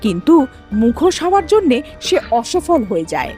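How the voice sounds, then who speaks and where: A woman's voice: sits high, big pitch swings, normal speed, mellow, soft background music. A woman narrating a scripted cartoon story as its storyteller.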